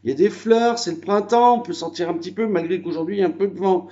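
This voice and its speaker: A man's voice: high-pitched, quick pace, mellow, muffled recording. Rambling French man on a phone video. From France. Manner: rambling, chatty, full of fillers. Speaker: vlogger. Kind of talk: casual home video chat. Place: house in the countryside.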